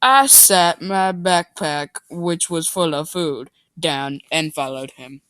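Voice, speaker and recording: Man, unhurried, reading amateur fiction badly on purpose. Goofy, mocking, quick to break off and comment. Dry sound. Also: fairly high pitch, a bit bright, clear, resonant tone, no echo. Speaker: reader and commentator. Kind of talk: mock dramatic reading of amateur fiction with joking asides.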